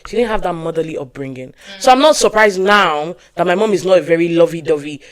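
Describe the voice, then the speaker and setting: A young woman co-hosting a podcast, telling stories in casual chat with emphatic, animated delivery. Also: lowish voice, fast. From Nigeria. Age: young adult. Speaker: podcast co-host.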